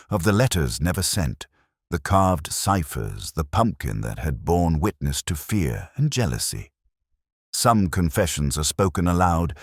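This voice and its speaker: A man reading a scripted first-person detective story in a measured, solemn, literary way. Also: sits low, husky, no room sound, studio quality. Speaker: narrator of an audio mystery story.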